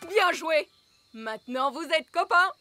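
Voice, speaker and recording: A woman playing a brisk, encouraging riding instructor who teaches children in a cartoon. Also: extremely high pitch, animated. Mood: instructive. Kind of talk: French cartoon dub acting. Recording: music behind the voice.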